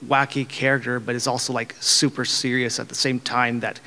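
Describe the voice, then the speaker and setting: A man in the audience asking a panel question into a handheld mic, halting and restarting as he goes. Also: medium pitch, level tone, sharp tone. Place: large convention hall panel room. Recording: roomy hall reverb.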